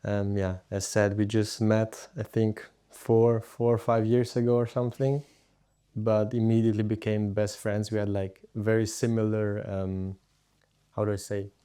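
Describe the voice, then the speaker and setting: A man's voice: on the low side, flat delivery, moderate pace, dark tone, clear, resonant tone. A young man from Hungary, raised partly in Austria, casually telling his story as a podcast guest. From Hungary, moved to Austria aged seven. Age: teens to young adult.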